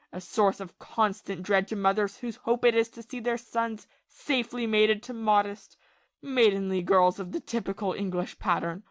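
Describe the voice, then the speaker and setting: A woman playing a bitter, lovesick, ruined ex-army captain in a period audio play, confessing passionately and haltingly. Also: medium pitch, no room sound, close to an everyday voice. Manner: confessional, impassioned, halting with repeated phrases.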